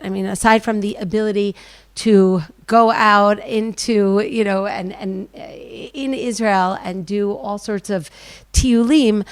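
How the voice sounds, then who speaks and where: A woman's voice: medium pitch, no echo. Earnest middle-aged woman teacher. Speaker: guest Bible teacher. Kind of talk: reflective lecture-style answers. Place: live event before an audience.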